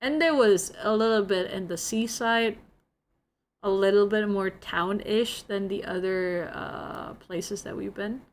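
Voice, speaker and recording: A woman's voice: medium pitch, slow. A young woman from Indonesia, speaking English as a second language, chatting casually with her viewers and telling a travel story full of "like" and giggles. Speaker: streamer.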